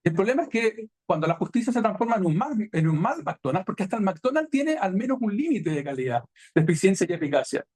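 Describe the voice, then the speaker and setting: A man's voice: high voice, sing-song, fast, a little mellow, slightly rough. A man giving a critical, emphatic academic panel talk. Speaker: panel speaker. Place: university event hall.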